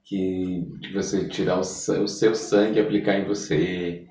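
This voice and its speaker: A man's voice: sits low, fairly even intonation, a little slow, warm tone, slight background noise. A man with a Brazilian accent hosts a casual interview, asking informal, slightly tentative questions. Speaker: podcast host, interviewer. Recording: echoey room, distant from microphone.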